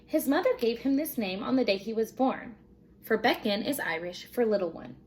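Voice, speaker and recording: A woman teacher reading a children's storybook aloud in an expressive narrating style. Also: a little high, normal speed.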